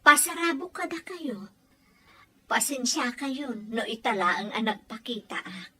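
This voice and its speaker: Actress as an emotional, high-voiced Filipino wife. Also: female voice, a little slow, bright, forceful tone, slightly rough, full character voice. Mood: subdued, regretful. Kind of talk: Ilocano audio drama acting.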